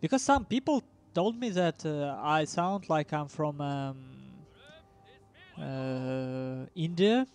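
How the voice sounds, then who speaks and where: A man's voice: a little high, animated, a little mellow, clean tone. Russian-accented man casting a game match. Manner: halting, many ums and uhs, chuckles. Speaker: esports commentator. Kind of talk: casual game commentary.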